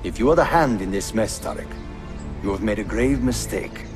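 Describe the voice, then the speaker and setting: A man's voice: on the low side, animated, moderate pace, music in the background, natural voice. A man playing a proud, resentful Ottoman prince in a video game, speaking in an imperious, indignant manner. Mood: accusing. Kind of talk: video game cutscene acting.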